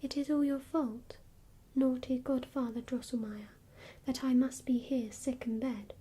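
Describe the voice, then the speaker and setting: A woman's voice: high voice, steady tone, measured pace, dark tone, breathy edge. A woman whispering the lines of a young girl sick in bed, pleading and reproachful.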